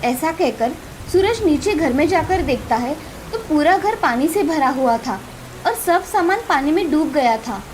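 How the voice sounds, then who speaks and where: A woman's voice: sits high, expressive, a little slow. A woman narrating a scripted moral story as a storyteller. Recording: clearly noisy, with ambience behind.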